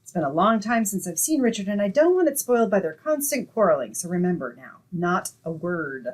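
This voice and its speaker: Woman playing a wry, lively young roommate. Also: medium pitch, brisk. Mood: bossy, exasperated. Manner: wry, expressive. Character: sensible, wry young woman, exasperated yet affectionate roommate. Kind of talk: old-time radio comedy acting and narration.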